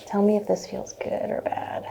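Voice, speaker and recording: Soft, mellow woman murmuring ASMR instructions. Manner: gentle, reassuring, unhurried instructions. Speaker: ASMR host playing a chiropractor. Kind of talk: soft-spoken ASMR roleplay, often whispered.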